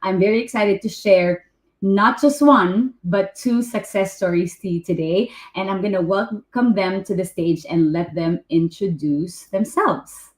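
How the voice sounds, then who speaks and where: A woman's voice: medium pitch, a little slow. Echoey-sounding Filipino woman hosting an interview. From Philippines. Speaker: host. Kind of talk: hosting a live online interview. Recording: echoey room.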